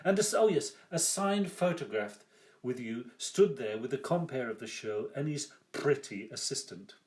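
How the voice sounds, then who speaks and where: An older man reading his own first-person memoir aloud as its author, with measured, deliberate phrasing. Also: medium pitch, lively intonation, darkish tone.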